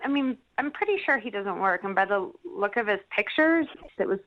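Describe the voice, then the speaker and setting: A woman's voice: mid-range voice, lively intonation, brisk. Hesitant woman on a muffled phone call. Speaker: radio show guest on a dating segment. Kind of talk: casual phone chat.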